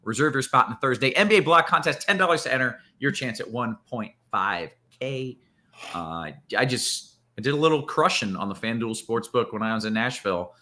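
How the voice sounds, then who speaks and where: A man's voice: medium pitch, animated, brisk, bright, forceful tone. A man hosting a sports betting show with upbeat, hype-style delivery. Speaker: show host.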